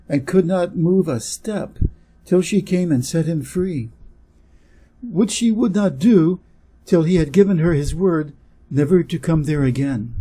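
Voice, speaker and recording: A man from Wisconsin with an American accent, reading a fairy tale aloud slowly and clearly for English learners. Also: on the high side, dark tone, low-quality recording. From Wisconsin, USA. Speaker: reader. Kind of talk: storybook reading.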